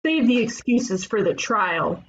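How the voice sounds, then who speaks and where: Lo-fi recording, actress as feisty young female cartoon sleuth, brash, commanding, slightly high-pitched. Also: sing-song, unhurried. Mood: indignant, commanding. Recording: dull, lo-fi mic with faint noise.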